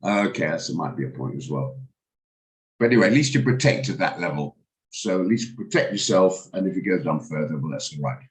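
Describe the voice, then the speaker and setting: A man, hoarse from a cold, hosting a live trading room and talking through charts with hesitant, stammering restarts. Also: low voice, animated, a little fast. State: hoarse, recovering from flu. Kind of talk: live market commentary on a video call.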